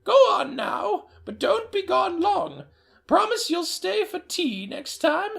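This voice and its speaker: A man voicing a confused, kindly old grandmother in a high, quavering falsetto. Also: very animated, takes it slowly, gravelly, a bit bright, exaggerated voice. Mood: doting.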